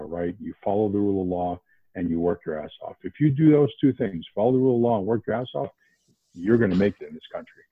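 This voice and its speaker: A man's voice: lowish voice, slightly rough, no room sound. Guy from outside boston, guest on a podcast call, repeats words a lot, sounds like a phone line. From New England, outside Boston. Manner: animated, many restarts and repeated words. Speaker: podcast guest, investment banker. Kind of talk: casual interview talk.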